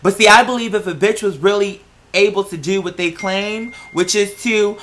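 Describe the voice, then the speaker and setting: An American vlog host chatting casually and emphatically, with slangy, sweary, animated rambling. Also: mid-range voice, normal speed, a little hiss or hum. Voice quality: slangy speech peppered with "girl" and swearing. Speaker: host of a talk show vlog. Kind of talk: casual opinionated solo chat.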